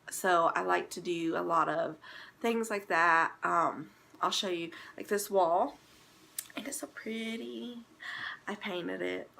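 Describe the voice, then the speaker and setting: A young woman talks straight to camera from a bedroom at home, her voice stuffy and congested. The tone is bright with a gravelly fry underneath. She rambles in a chatty, casual way, filling gaps with ums. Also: lowish voice, a little hiss or hum. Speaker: vlogger. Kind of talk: casual talking-to-camera vlog.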